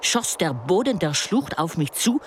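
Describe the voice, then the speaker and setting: An actor playing an expedition member who narrates a mountain climb in a reflective, literary way for a radio play. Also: male voice, high-pitched, big pitch swings, a little slow, noisy background. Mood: reflective, uneasy. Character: adult male expedition member recounting a dizzying mountain climb.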